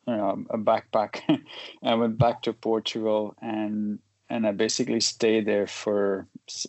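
A man in his forties, raised in Belgium, speaks in a low, gravelly voice that stays fairly flat in tone. He talks haltingly, restarting sentences and filling gaps with "uh" and "um", and chuckles now and then. The sound is dry and close, with little room echo. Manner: halting, many restarts and fillers, chuckles while talking. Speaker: guest, photojournalist. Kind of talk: casual interview conversation.

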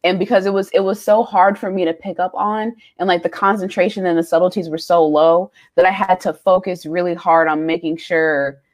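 A young woman in her twenties co-hosting a faith podcast, telling personal stories candidly with frequent pauses and ums. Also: lowish voice, steady tone. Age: young adult. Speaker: podcast co-host. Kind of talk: casual chat.

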